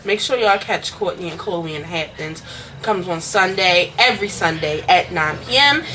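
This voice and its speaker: Sassy, bright-voiced woman gossip host. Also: fairly low pitch, expressive, moderate pace, slight background noise, poor audio. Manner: blunt, profane and sassy. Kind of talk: casual celebrity gossip chat.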